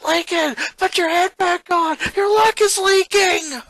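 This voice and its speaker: A man voicing a bossy, excitable six-year-old tomboy girl who talks loudly and urgently. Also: very high-pitched, a little slow, dry recording, voice pushed up, full character voice. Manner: urgent, loud.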